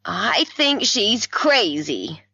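A woman's voice: mid-range voice, big pitch swings, very slow, vocal fry, pushed and bright, slightly rough, dry recording, pitched above the performer's own voice, heavily acted. A woman voicing an upset, exasperated older mother in a story. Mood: upset, wailing. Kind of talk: dramatised story dialogue.

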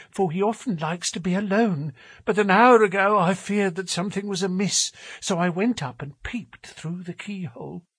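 A man's voice: a bit bright, slightly rough, no echo, exaggerated voice. A man putting on a gushing, tearful old housekeeper. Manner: high, gushing and pleading.

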